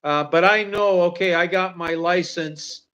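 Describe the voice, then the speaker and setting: A man's voice: sits high, a little slow, fairly bright tone, clean tone, dull audio. A man in his sixties from Canada, chatting casually as livestream co-host, rambling with many fillers and restarts. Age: 60s. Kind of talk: casual chat.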